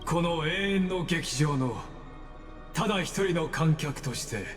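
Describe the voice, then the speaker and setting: A man's voice: fairly high pitch, normal speed, fairly bright tone, lightly acted. An actor playing a courteous, theatrical male narrator in a game, with formal, polite delivery. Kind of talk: scripted Japanese game voice acting. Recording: long hall reverb over music.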